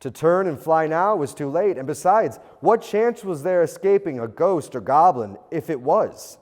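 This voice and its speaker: A man reading a classic tale as a storyteller, with a theatrical, expressive, old-fashioned literary delivery. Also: a little high, moderate pace. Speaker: storyteller, audiobook reader. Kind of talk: dramatic scripted narration of a classic ghost tale. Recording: long reverberant hall echo.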